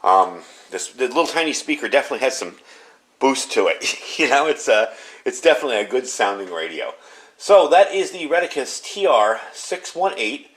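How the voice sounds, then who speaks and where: A man's voice: mid-range voice, sing-song, moderate pace, fairly bright tone. A man giving a casual product review in a conversational, unscripted, matter-of-fact way.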